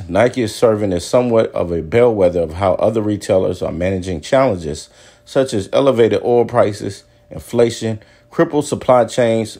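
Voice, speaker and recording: Male business commentator, very low register and relaxed, mellow timbre, informal delivery of earnings reports. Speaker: commentator presenting earnings news. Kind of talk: informal commentary and reading aloud of financial news.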